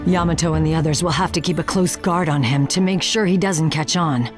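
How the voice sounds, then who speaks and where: Woman as stern ninja chief, low voice, authoritative, weary, anime game, music behind. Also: brisk, natural voice. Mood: grave, commanding. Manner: authoritative, grave. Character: stern, commanding, weary woman village leader. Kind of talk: anime game dub acting.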